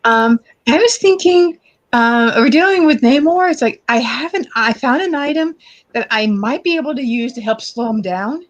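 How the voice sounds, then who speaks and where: A woman's voice: high voice, animated, normal speed, muffled recording. A woman chatting casually as a player in a tabletop game, thinking aloud in a tentative way.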